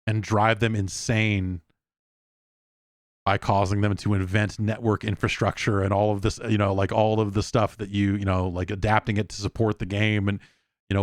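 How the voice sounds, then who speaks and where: A man's voice: on the low side, no echo, clean studio sound. A man hosting a casual solo podcast, thinking aloud as he answers listener questions in informal, profane language. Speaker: podcast host.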